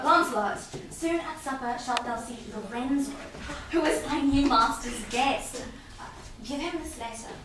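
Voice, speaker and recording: A woman playing a troubled, secretive young daughter in love in a halting staged Shakespeare reading. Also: high-pitched. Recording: echoey room from far off, noisy background.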